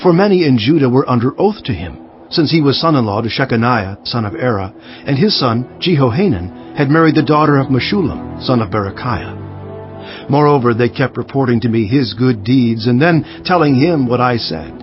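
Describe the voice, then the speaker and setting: A man playing an earnest, resolute ancient governor recounting his memoir in a measured, emphatic dramatized scripture narration. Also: mid-range voice, expressive, natural voice. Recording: dull sound with faint music underneath.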